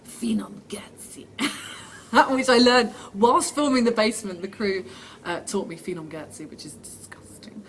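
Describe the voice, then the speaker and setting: A woman's voice: mid-range voice, unhurried. A woman actress giving casual, chatty interview answers in a self-deprecating way, reading the questions out herself. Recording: steady background noise.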